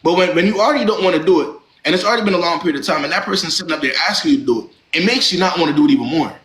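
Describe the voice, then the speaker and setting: Fast-talking blunt young man. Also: fairly high pitch, fairly bright tone, reverberant, low-quality recording. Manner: blunt, lecturing, slangy. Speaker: live-stream advice host. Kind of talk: blunt relationship advice to callers.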